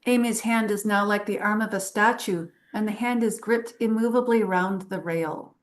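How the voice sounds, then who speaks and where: A woman's voice: mid-range voice, steady tone, normal speed. A woman giving scripted narration, reading stage directions aloud at a table read.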